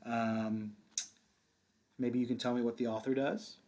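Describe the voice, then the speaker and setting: A man's voice: mid-range voice, flat delivery, a little slow, a little mellow. A man reading a children's picture book aloud like a kindergarten teacher, with casual asides and encouraging, simple, sing-song delivery for young children.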